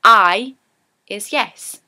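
Chatty Geordie woman with a bright voice. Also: mid-range voice, drawn-out words. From Newcastle, England. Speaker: vlogger teaching dialect. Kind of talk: casual dialect lesson.

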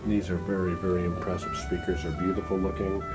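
A man's voice: low-pitched, flat delivery, brisk, over loud music. A man reporting and interviewing casually on camera at a trade show in a hotel room.

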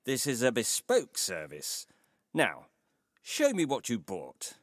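An actor playing a courteous, mysterious old tailor in an audio story, speaking in a formal, deliberate way. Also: male voice, mid-range voice, wildly swooping pitch, dry recording, clean studio sound, heavily acted. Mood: grave, measured. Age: older. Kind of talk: dramatised audio short story acting.

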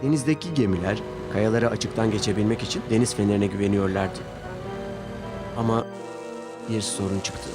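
A man's voice: on the low side, moderate pace, long pauses. A man narrating a scripted children's story as its storyteller. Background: music under the voice.

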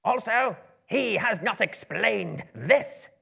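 A storybook goblin underling reporting to a master. The voice is pitched up and raspy, straining with servile accusation, sharp and gravelly. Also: male voice, expressive, takes it slowly, heavily acted. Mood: accusing.

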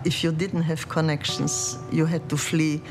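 An older woman from Czechoslovakia recalling her past in a documentary interview, speaking in a measured, reflective way. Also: deep voice, flat delivery, music in the background. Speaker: interviewee, refugee. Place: dark studio set, indoors.

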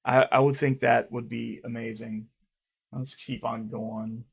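Man, 30s, raspy, creaky, mid pitch, stutters, rambling reaction vlog. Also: flat delivery, measured pace. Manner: rambling, swears, repeats himself, admits he stutters. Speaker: YouTuber reading fan comments. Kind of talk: casual reaction commentary. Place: dark room at home.

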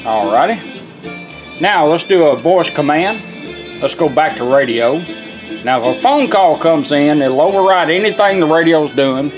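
A man's voice: a little high, expressive, a little slow, over music. A man giving a casual, folksy, step-by-step product demonstration in an informal way. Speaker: vintage car radio restorer demonstrating. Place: indoor workshop bench.

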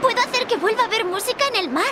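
Earnest young game heroine: girlish, curious, very high, with ambient noise behind. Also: animated, rapid-fire. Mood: earnest, hopeful. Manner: wondering, earnest. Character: curious, well-meaning young girl with magic wand.

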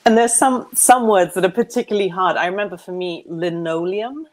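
Lady with a lowish voice asking questions on a video call, earbuds, chatty and curious. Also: a little fast, darkish tone. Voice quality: low voice. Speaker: interviewer. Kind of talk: casual video-call conversation. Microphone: wired earbuds.

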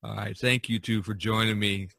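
A middle-aged or older American man hosting a podcast in a conversational way, with a husky voice. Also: lowish voice, flat delivery, normal speed, pushed and bright, no room sound. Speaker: podcast host.

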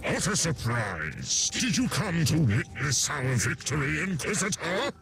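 Gravelly, gloating chaos fanatic. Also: male voice, fairly high pitch, very animated, a little slow, exaggerated voice. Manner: menacing, taunting, theatrical. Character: gloating, fanatical chaos cultist warrior villain. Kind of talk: video game voice acting.

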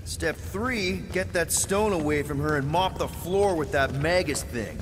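An actor playing a cocky, easygoing leader of a space crew in a video game, casual and flippant. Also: male voice, on the high side, unhurried, background noise, close to an everyday voice. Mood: determined. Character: cocky easygoing young human space-adventurer team leader.

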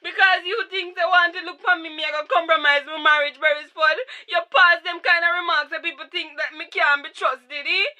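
An indignant Jamaican wife and mother in a radio drama, hurt but firm. Her voice is very high and sharp. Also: steady tone, a little fast, few pauses, exaggerated voice.

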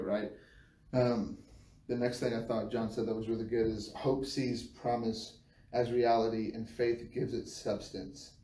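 A mellow-voiced American man speaks informally, like a church devotional on a livestream. He halts and doubles back on phrases. The sound is roomy and a bit distant from the phone, with faint noise. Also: medium pitch. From United States. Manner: halting, repeats phrases as he goes. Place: small bare-walled room indoors. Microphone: phone camera a few feet away.

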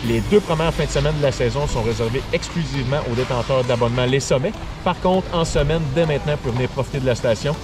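A man's voice: mid-range voice, fast, over music. A man, a ski resort director talking up the season in an upbeat way, with fillers, outdoors on a chairlift. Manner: upbeat, with frequent fillers and repeated words. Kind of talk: promotional interview talk. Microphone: action camera on a pole.

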